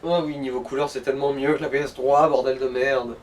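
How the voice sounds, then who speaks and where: A man's voice: mid-range voice, little pitch movement, brisk. A man chatting casually as a let's play co-host, wry and given to swearing. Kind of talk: casual gaming chat. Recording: distant mic with background noise.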